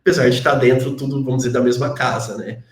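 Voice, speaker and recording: A talkative Brazilian man with a Brazilian Portuguese accent, chatting as an interview guest in a middle-pitched voice. He strings his thoughts along with tag questions and self-deprecating asides. The sound carries a roomy echo. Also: steady tone, fast talker. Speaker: guest, database engineer. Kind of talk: casual interview chat.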